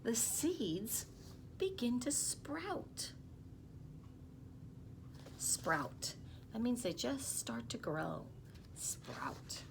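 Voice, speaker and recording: A woman in her fifties reads a picture book aloud to children, expressively and unhurriedly, with soft explaining asides. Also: on the high side, very animated, extremely slow, long pauses. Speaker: school storytime reader. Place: small office, indoors. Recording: clearly noisy small-room recording.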